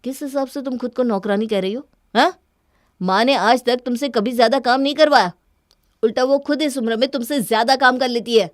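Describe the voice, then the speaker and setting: A woman's voice: on the high side, a little fast, no echo, clean studio sound, close to an everyday voice. A woman voicing an earnest, exasperated young husband in a cartoon story, reasoning and reproachful. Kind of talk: Hindi cartoon story dialogue.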